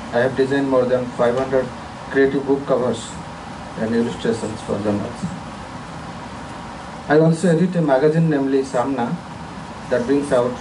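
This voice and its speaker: A man's voice: mid-range voice, far from the mic, background noise. A man from India giving a formal, earnest self-introduction at a conference, speaking from a prepared statement in an auditorium.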